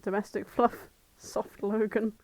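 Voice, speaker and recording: A woman's voice: mid-range voice, little pitch movement, takes it slowly, a little mellow, dry recording, poor audio. A woman co-hosting a podcast in casual comedic chat, with a playful, joking manner. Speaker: podcast co-host.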